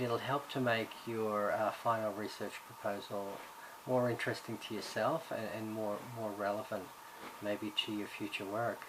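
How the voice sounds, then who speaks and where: A man from Ireland, raised in Australia, giving a recorded course introduction as subject coordinator, talking hesitantly with many fillers and restarts. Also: lowish voice. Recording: background noise.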